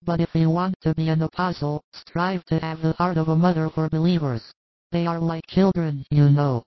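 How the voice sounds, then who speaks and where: A man voicing a tender, patient older mother with a gentle, coaxing manner. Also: on the high side, little pitch movement, normal speed, no echo, highs cut off, pitched above the performer's own voice, close to an everyday voice. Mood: patient, loving.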